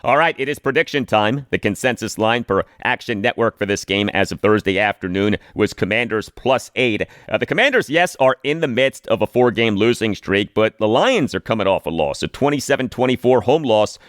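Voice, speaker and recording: A man hosting a sports podcast, giving scripted commentary and predictions with emphatic, deliberate phrasing. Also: on the low side, expressive, fairly bright tone, no room sound, clean studio sound.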